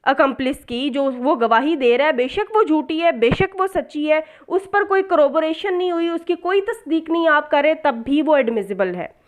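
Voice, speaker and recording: A woman's voice: high voice, animated, normal speed, few pauses. A woman with a Pakistani accent lecturing as a law tutor, explaining step by step with rhetorical questions, repetition for emphasis and English legal terms mixed in. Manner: didactic, repeats key points for emphasis.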